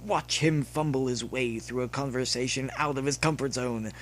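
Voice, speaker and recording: A man reading a story aloud as narrator in a dramatic, expressive way. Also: medium pitch, normal speed. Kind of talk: reading fan fiction aloud with character voices. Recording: clearly noisy background with hum.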